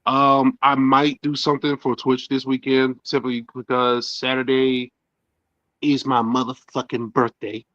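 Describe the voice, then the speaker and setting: A man's voice: medium pitch, flat delivery, unhurried, a bit bright, dry recording, lo-fi sound. A man in his thirties chatting casually as a podcast co-host, rambling with many fillers and restarts. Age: 30s. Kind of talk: casual chat.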